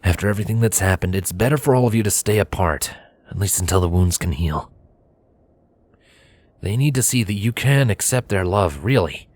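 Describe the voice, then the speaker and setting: A man playing a gentle but firm pony husband in a story reading, earnest and given to sighs. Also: sits low, animated, moderate pace. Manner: soft-spoken, sighing, earnest.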